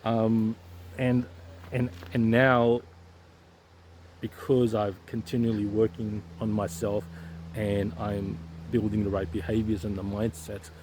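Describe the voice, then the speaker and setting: A low-voiced man with a gravelly, dark tone talks casually to his camera while out on a hike. He stutters, often repeating sounds and restarting words. The recording has a faint outdoor hiss behind him. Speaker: YouTuber sharing stuttering advice. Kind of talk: casual vlog talk to camera. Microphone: weak handheld setup, shaky.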